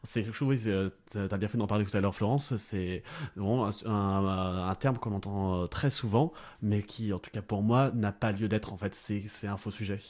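A man on a radio show, talking in halting phrases and often restarting mid-sentence. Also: lowish voice, fairly even intonation, a little mellow. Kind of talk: radio discussion.

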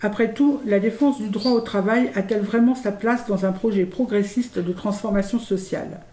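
A woman's voice: mid-range voice, normal speed, mellow. A woman presenter reading scripted satirical commentary in a formal, declamatory, rhetorical manner. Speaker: radio show presenter. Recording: roomy, faint background noise.

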